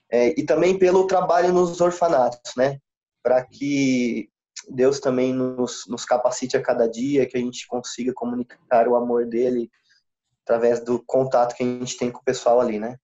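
A Brazilian man in his thirties, a missionary pastor, speaking calmly and haltingly in an interview over a video call from a small room. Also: medium pitch. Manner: calm, halting, with many restarts. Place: small room indoors, sitting beside his wife. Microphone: laptop camera mic on a video call. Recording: dull lo-fi video call audio.

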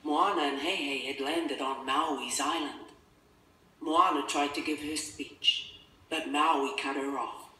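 A man narrating a scripted children's story in a measured, expressive storytelling manner. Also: fairly high pitch, a little hiss or hum. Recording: roomy, distant, music behind.

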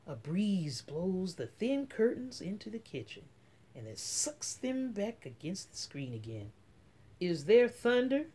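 Expressive, low-pitched woman reading a storybook. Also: slight background noise. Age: middle-aged. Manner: dramatic, drawn-out emphasis on key words. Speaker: story time host. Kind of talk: expressive children's picture book read-aloud. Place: small room at home.

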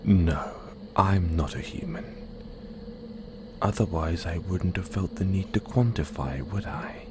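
A man playing a playful, menacing, possessive werewolf in an audio roleplay, teasing the listener in a breathy, half-whispered, predatory way. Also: low-pitched, unhurried, noisy background. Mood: mock-apologetic, menacing.

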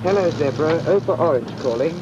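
An actor playing a young trainee fighter pilot making a clipped radio call from the cockpit. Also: male voice, fairly high pitch, expressive, brisk. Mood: tense. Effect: radio transmission. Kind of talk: 1940s British film acting. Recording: noisy lo-fi radio with engine roar.

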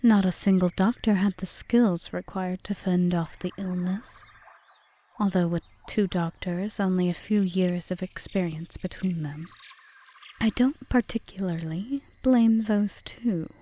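A woman playing a composed, aggrieved witch in an ASMR roleplay, speaking formally and measuredly in a breathy half-whisper. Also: on the low side, measured pace, dark tone. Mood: measured, justifying. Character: cool, bargaining yandere witch, aggrieved yet composed. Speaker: audio roleplay performer.

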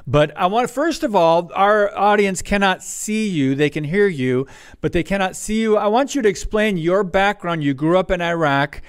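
A man hosts a talk show in a somewhat high, smooth, resonant voice. He is friendly and talks in quick bursts, and sometimes slows down for emphasis. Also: expressive. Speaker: podcast host. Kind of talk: interview host chat.

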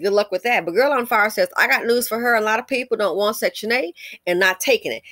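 An American woman hosts an opinionated commentary in a blunt, emphatic way. She scolds, throws in conversational asides and asks pointed rhetorical questions. Her mid-pitched voice is recorded dry, with little room sound. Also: fairly bright tone, no echo. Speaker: commentary channel host.

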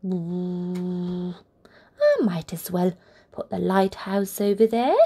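A woman reading a picture book aloud for toddlers, with deliberate, expressive phrasing and encouraging asides. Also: on the low side, slightly rough. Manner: deliberate, expressive phrasing aimed at small children. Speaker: children's storybook reader, channel host.

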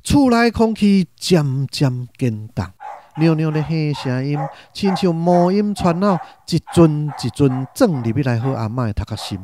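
A man with a Taiwanese accent narrating a radio drama in an expressive, literary storytelling style. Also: fairly high pitch, a little slow, noisy background.

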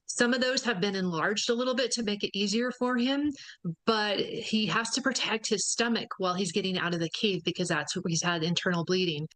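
A woman's voice: mid-range voice, a bit bright. Woman explaining over a video call. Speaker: cave rescue spokesperson. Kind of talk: remote video interview.

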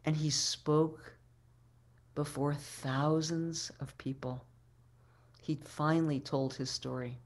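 A woman in her sixties tells a story as a keynote speaker. Her voice is low and she takes her time, pausing and repeating phrases for dramatic weight. She is talking into a webcam mic in a room at home. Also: steady tone, darkish tone. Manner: deliberate, dramatic storyteller with pauses and repetition. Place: living room at home, indoors. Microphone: webcam video call microphone.

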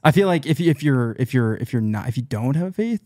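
A young adult man, a guest on a podcast, talking casually in a hesitant, halting way. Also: mid-range voice, expressive, mellow, dry recording, clean studio sound. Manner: hesitant, halting, many restarts and fillers. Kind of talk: casual chat. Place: small cozy studio room, indoors. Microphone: studio podcast mic on boom arm.

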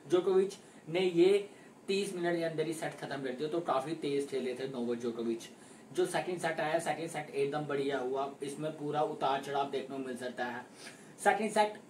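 A man's voice: on the high side, a little hiss or hum. A young man from India giving a casual post-match tennis breakdown as a sports vlogger, gesturing as he walks through each score. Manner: animated hand gestures, repeats score calls. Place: small indoor room at home. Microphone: camera at mid distance. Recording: roomy, slightly distant home mic.

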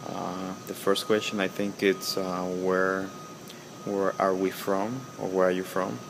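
A man in his thirties from El Salvador talks slowly into a close webcam, his voice sitting on the low side. He pauses often, filling the gaps with ums and uhs. An air conditioner hum and outdoor noise stay clearly audible behind him. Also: level tone. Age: 30s. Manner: halting, full of ums and uhs. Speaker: pipe-smoking video blogger. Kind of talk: casual video reply. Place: outdoors beside a house. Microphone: webcam at close range.

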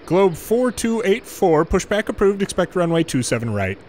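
A man doing gameplay commentary, mixing measured air traffic control phraseology with muttered casual asides. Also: high-pitched, big pitch swings, darkish tone. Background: game airport ambience.

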